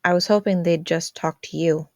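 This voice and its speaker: A woman's voice: on the low side, steady tone, normal speed, no echo, clean studio sound, natural voice. Woman voicing a sheepish, casual teenage boy. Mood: hesitant. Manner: hesitant, casual, defensive. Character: sheepish, evasive teenage boy, younger brother with a secret.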